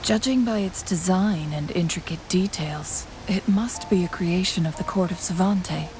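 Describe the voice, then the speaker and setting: An actress playing a composed, scholarly young woman adventurer in a video game, speaking in a measured, articulate, formal way. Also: lowish voice, noisy background, close to an everyday voice. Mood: thoughtful. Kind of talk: video game story dialogue. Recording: game music playing behind.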